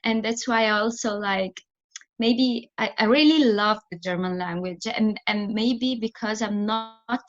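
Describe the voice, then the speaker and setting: A woman's voice: medium pitch, unhurried, dull audio. A woman with an Italian accent and Italian as her first language. She answers interview questions thoughtfully as a theatre director, often restarting and searching for words. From Italy. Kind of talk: interview answers on a remote call.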